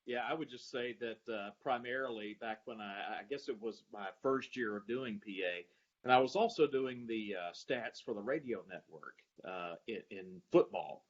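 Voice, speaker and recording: A man, a sports announcer answering interview questions in a halting way, searching for his words. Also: on the low side, dull audio. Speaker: guest, arena public address announcer.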